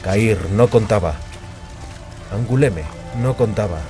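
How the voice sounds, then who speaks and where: A man's voice: fairly low pitch, slow pace, music in the background. A man giving a dramatic scripted narration of a fantasy audiobook.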